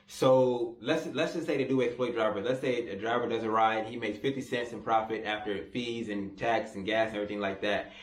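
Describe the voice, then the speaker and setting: A young man in his twenties vlogging casually to camera, rambling with restarts and repeated words as he makes a persuasive pitch. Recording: roomy echo.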